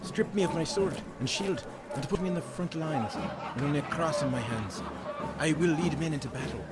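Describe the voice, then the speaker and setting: A man's voice: on the high side, a loud crowd, natural voice. A man playing a kindly, devout Roman soldier in an audio drama, speaking earnestly and dramatically. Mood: fervent resolve. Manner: earnest, dramatic, halting when moved.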